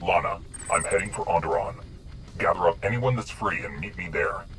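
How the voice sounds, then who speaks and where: A man voicing a calm, authoritative military commander in a space fantasy video game. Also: sits low, normal speed, background noise. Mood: calm, directing.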